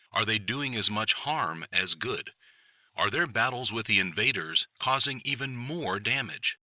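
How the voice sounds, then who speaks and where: A man's voice: on the low side, pushed and bright, no room sound, full character voice. A man playing a dramatic, portentous television news reporter in an audio drama, full of rhetorical questions.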